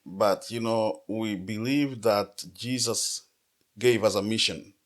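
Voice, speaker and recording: This is a middle-aged pastor from Congo with a very low voice, speaking as an interview guest. He tells his story earnestly and repeats words when he restarts a sentence. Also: steady tone, slow. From Democratic Republic of the Congo. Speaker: pastor and nonprofit founder.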